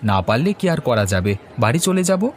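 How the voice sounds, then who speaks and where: A man's voice: medium pitch, very animated, quick pace, a faint crowd, a little hiss or hum, natural voice. A man voicing a hardworking village fan seller and family man in a cartoon, earnest and polite. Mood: firm. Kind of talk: cartoon voice acting. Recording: music playing behind the voice.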